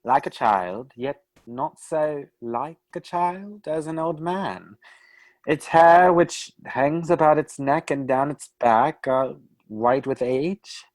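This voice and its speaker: Slow, dry storybook narrator. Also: male voice, on the high side, no echo. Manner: indignant, exasperated asides. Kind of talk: radio play narration.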